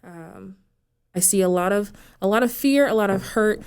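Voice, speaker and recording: A woman reflecting in conversation as a panel guest, thoughtful and measured, pausing often to find words. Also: medium pitch, a little mellow, smooth. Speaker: church outreach coordinator, panel guest.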